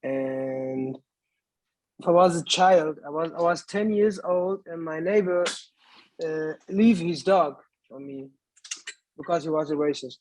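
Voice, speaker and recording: A man in his twenties from Lebanon talks through his thoughts in a panel conversation, slowly and haltingly, restarting words as he goes. His voice sits a little high, and it is recorded dry and close. His English bends grammar the way a non-native speaker's does. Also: a little mellow, no room sound, poor audio. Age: 20s. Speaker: actor.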